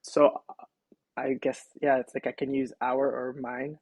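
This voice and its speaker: A young man from Israel, a podcast guest, telling his story in relaxed casual talk with wry asides and many fillers, recorded on his own mic at home. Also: mid-range voice, a little slow, husky, no room sound. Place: home setup, self-recording.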